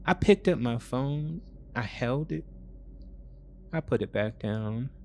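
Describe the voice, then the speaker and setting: A man narrating a first-person dramatic story in short, clipped phrases with heavy pauses. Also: medium pitch, a little mellow, slight background noise.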